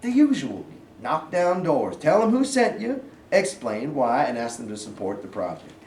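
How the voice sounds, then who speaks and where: A man in a staged play reading, playing a domineering, folksy Southern authority figure who gives gruff commands. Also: medium pitch, wildly swooping pitch, normal speed, darkish tone. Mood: commanding, persuasive. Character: blustering Southern sergeant turned governor and stern father. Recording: distant echoey room, faint noise.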